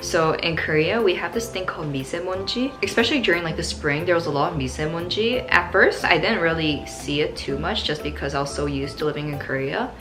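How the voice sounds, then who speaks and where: A woman's voice: low voice, fairly quick, hardly any pauses, music in the background. A young woman from Canada answering viewer questions in a casual, upbeat vlog, full of "like" fillers. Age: young adult. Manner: upbeat, chatty, many "like" fillers.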